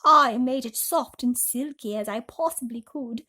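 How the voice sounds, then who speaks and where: A woman's voice: fairly high pitch, measured pace, bright, forceful tone, pitched above the performer's own voice. A woman voicing a courteous, motherly spider in a children's storybook, speaking politely and attentively. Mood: proud, kindly.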